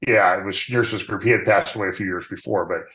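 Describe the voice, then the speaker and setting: A man talking as a guest in a relaxed, plainspoken way. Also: sits low, fast talker, rough recording. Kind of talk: casual conversation.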